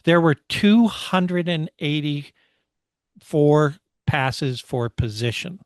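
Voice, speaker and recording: A man's voice: on the high side, very slow, no room sound. A man co-hosting a motorsport podcast, recapping a race in casual, enthusiastic conversation.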